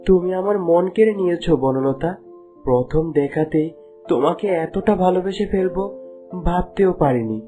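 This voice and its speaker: A man plays a gallant young prince in a fairy-tale cartoon. His dark, mellow voice is courteous and earnest in its romance, with music playing faintly behind. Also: sits high, lively intonation, normal speed. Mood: smitten. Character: smitten young prince posing as a merchant, courteous.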